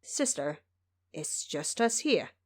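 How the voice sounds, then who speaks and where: A woman's voice: deep voice, sing-song, slow, dry recording, voice pushed down, heavily acted. A woman playing a grave, composed emperor. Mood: soothing, concerned. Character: grave, composed male emperor, gentle with family.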